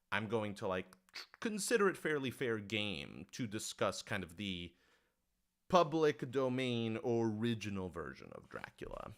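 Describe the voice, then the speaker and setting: A man's voice: lowish voice, fairly bright tone. A man hosting a casual livestream Q&A, talking informally and thinking aloud as he corrects himself. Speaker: podcast writer-director hosting a livestream Q&A.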